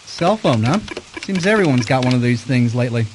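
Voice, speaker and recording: A man playing a wry, cool-headed private detective, narrating and speaking with dry calm in an audio mystery drama. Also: mid-range voice, brisk, noisy background, natural voice. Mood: cool, unflappable.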